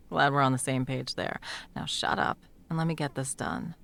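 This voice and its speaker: Female sound mage, acted by a woman for audio roleplay: low register, gravelly fry, cursing, bossy, teasing, secretly smitten. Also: fairly even intonation, normal speed, a bit bright, full character voice. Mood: teasing, fond. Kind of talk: intimate second-person audio roleplay acting.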